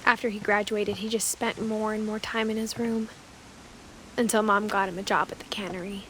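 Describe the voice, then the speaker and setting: A woman's voice: medium pitch, level tone, normal speed, a bit bright, a little hiss or hum, lightly acted, poor audio. A woman playing a pensive teenage girl who recalls her family in scripted game narration, understated and reflective. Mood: wistful, reflective. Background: music.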